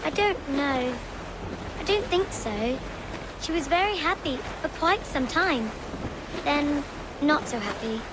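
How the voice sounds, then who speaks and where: Young English girl, game cutscene: candid, inquisitive, animated high pitch, noisy sea backdrop. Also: slow pace, long pauses. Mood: quietly resigned. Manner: inquisitive, precise, matter-of-fact. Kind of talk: naturalistic video game cutscene acting. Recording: noisy wind, waves and creaking ship.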